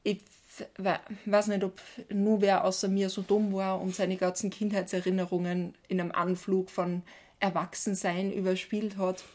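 A woman's voice: mid-range voice, level tone, moderate pace, darkish tone. A woman with an Austrian accent chatting casually as a podcast co-host.

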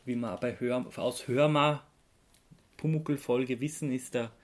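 A podcast host talks in a casual, conversational way. The voice sits at a middle pitch. The delivery is halting, with searches for the right word, and has an easily amused manner. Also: fairly even intonation.

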